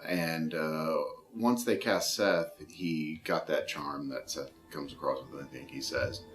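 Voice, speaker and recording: Super deep voiced effects guy, relaxed thoughtful talk. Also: slow, soft background music. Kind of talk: interview answers.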